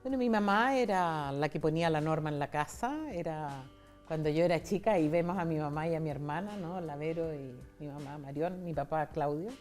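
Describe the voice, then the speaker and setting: Interview guest: Chilean woman in her 60s, slightly low voice, candid personal storyteller. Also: brisk, darkish tone, faint music behind. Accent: Chilean Spanish. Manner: candid, conversational, retells dialogue. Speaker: interview guest, foundation founder.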